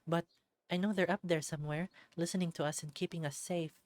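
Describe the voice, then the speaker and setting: A woman voicing a kind, anxious, devout village woman in a visual novel, explaining things gently and hesitantly. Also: fairly low pitch, steady tone, brisk, dry recording, pitched above the performer's own voice, lightly acted. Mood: wistful.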